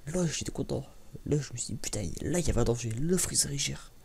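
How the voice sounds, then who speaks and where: A soft-spoken man gives casual ASMR-style commentary, halting and rambling, repeating words and using slang. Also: medium pitch, vocal fry, a little hiss or hum. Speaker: ASMR video creator.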